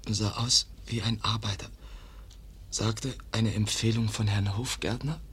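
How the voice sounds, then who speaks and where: Injured young man, radio play, lowish pitch, dragging pace, pained, gasping, clipped broken phrases, frightened. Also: fairly even intonation, measured pace, fairly bright tone, husky, heavily acted. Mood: weak, labouring. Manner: broken, clipped sentences with missing words. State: weak, in pain, gasping. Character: wounded, frightened young man, weak and halting. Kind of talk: German radio drama acting.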